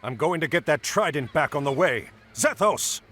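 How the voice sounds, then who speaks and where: Middle-aged admiral hero, commanding, lively pitch, game cutscene, faint noise. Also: male voice, moderate pace. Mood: calm. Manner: commanding, gives orders.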